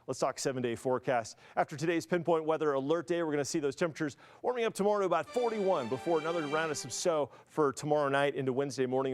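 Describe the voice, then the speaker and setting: Male American weathercaster, slightly high pitch, rapid conversational delivery, explains as he goes. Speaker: TV weather forecaster. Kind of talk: conversational broadcast weather forecast.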